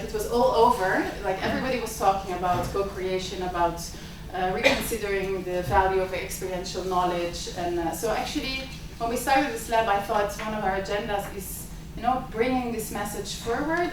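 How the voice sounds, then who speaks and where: Italian-native woman host, hesitant delivery with fillers, recorded from afar in a noisy reverberant seminar room. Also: medium pitch. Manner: hesitant, many filler sounds. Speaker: symposium host and lab director. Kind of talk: opening remarks at an academic event. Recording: echoey lecture room, mic far off.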